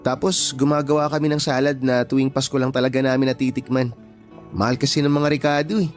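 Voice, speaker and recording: A man playing an earnest, friendly young coworker in gentle, conversational radio drama dialogue. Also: medium pitch, fairly even intonation, quick pace, faint music behind, lightly acted. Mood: chatty.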